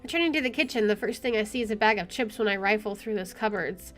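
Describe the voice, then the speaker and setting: A woman's voice: medium pitch, fast talker. A woman reading visual novel narration aloud as a game streamer, informally, with offhand asides. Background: game music.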